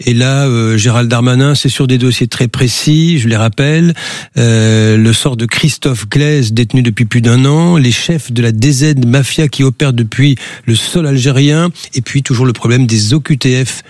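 A man's voice: medium pitch, moderate pace, darkish tone, no room sound. Man hosting a dry, close radio phone-in. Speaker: radio talk show host. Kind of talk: live phone-in interview, conversational.

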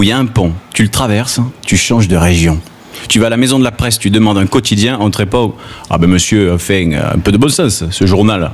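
A man's voice: lowish voice, husky. A man with a southern French accent, a comedian delivering a wry, chatty radio column. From Gard, southern France. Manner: wry, conversational, with many fillers. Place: radio studio at a festival.

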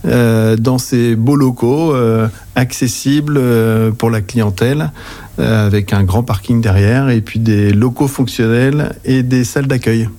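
Halting, mellow Frenchman in his forties. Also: medium pitch, fairly even intonation. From Anjou, western France. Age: 40s. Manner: very halting, hesitant delivery. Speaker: accounting agency director, interview guest. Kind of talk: informative interview answers. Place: interview on site in an office.

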